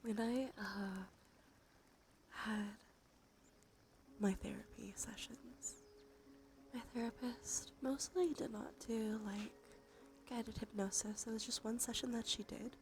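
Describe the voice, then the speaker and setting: A woman doing gentle, unhurried ASMR in a breathy whisper and soft murmur, her nose slightly stuffy. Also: on the high side, fairly even intonation. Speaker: ASMR streamer, host. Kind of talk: ASMR, soft intimate role play and rambling. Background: soft music.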